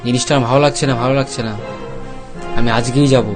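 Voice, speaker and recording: A man's voice: medium pitch, flat delivery, fast, natural voice. A man voicing a worried village father in a cartoon story, agitated and pleading, repeating himself. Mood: alarmed. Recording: clear music under the voice.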